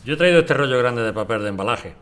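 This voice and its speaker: A man's voice: mid-range voice, expressive, quick pace, fairly bright tone, slight background noise, natural voice. A man with a Spanish accent from Spain playing a plain-spoken, defiant neighbour and father in an audio drama. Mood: easygoing. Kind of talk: scripted radio drama dialogue.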